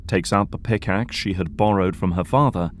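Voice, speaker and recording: A man's voice: deep voice, normal speed, a little hiss or hum. A man narrating a scripted audio drama as storyteller.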